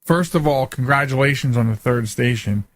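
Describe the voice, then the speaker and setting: A man's voice: mid-range voice, brisk. A man in his forties hosting a casual, jokey interview as he chats with his guest. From United States, Philadelphia area. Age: 40s. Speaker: show host.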